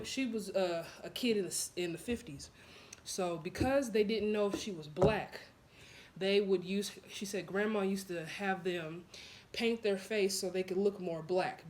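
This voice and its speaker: Blunt, chatty woman vlogging. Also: mid-range voice. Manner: blunt, animated, chatty with laughs and asides. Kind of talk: casual talking-to-camera vlog.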